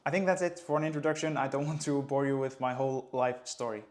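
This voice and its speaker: Man, late twenties, Icelandic accent, native Icelandic speaker, running coach, relaxed vlog chat, mid-pitched voice. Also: quick pace. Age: late 20s. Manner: relaxed, conversational, light laughs. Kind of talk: casual vlog talk to camera.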